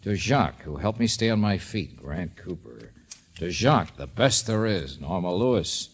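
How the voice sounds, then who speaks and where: Low-voiced, husky radio private eye. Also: male voice, animated, normal speed, full character voice. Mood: musing, wry. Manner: dry, clipped, casually wisecracking. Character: cool, wry private detective, a grown man. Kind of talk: 1940s radio drama acting.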